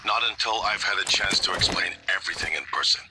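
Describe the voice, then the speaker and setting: A man playing an evasive, harried authority figure in a video game, speaking in a hushed, clipped and halting way. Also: low voice, noisy background, close to an everyday voice. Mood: harried, apologetic.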